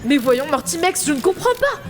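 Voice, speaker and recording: Woman as a wry, high-voiced, animated sergeant. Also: normal speed, music in the background. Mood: sarcastic. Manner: wry, colloquial, insolent. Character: sarcastic, muttering woman army sergeant. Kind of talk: comic sci-fi audio drama.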